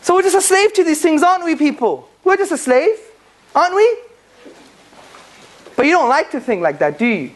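High-pitched speaker, rhetorical and questioning. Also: big pitch swings, normal speed, pauses often. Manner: rhetorical, fires repeated questions at the listeners; jokey asides with bursts of laughter. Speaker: public speaker addressing an audience. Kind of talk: lively public talk on faith and philosophy. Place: room with a live audience.

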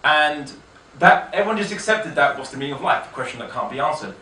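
A man giving an informal autobiographical talk, telling his story in a halting, self-correcting, conversational way. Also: on the high side, lively intonation, bright, forceful tone. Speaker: speaker giving a personal testimony. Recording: echoey room, distant mic, faint noise.

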